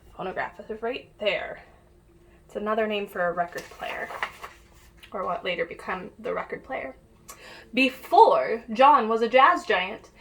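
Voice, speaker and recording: A woman with a very bright, fairly high voice reads a picture book aloud as a teacher would for young pupils. She talks straight to the children in an encouraging way. The home-made recording has a slight background noise. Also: rough recording. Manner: addresses young listeners directly, encouraging. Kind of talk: children's read-aloud with asides and questions.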